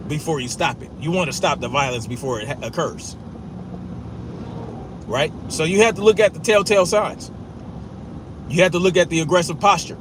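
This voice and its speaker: Man, fairly high voice, stutters, blunt and profane; noisy outdoor recording. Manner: forceful, profane, repetitive, with a stutter. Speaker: commentator. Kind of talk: blunt opinion monologue.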